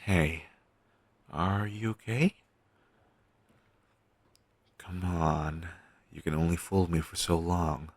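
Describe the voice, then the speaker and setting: A man playing a weary, caring undead best friend in an intimate audio roleplay, talking casually and earnestly with halting phrases. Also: low voice, fairly even intonation, dry recording, heavily acted. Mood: worried, gentle. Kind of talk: audio roleplay, intimate one-sided dialogue.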